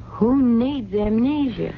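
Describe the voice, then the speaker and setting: A woman's voice: on the high side, slow pace. An actress playing a plain-spoken, compassionate American working-class woman in a radio drama, blunt and slangy. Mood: curious, blunt. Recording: muffled, noisy old radio broadcast recording.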